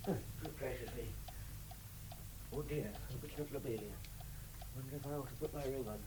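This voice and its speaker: An actor with an English accent playing a fussy, warm, excitable little hobbit host, stammering and flustered in a vintage radio drama. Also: male voice, mid-range voice, fairly quick, noisy background, natural voice. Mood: musing, flustered. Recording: vintage radio recording with music.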